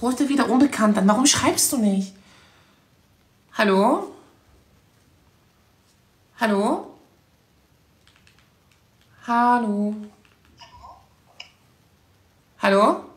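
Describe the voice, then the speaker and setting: A man's voice: very high-pitched, expressive, slow pace, pauses often, voice pushed up, full character voice. A man using a voice changer to play a young woman, asking curious questions on a prank call. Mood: impatient. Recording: roomy room sound.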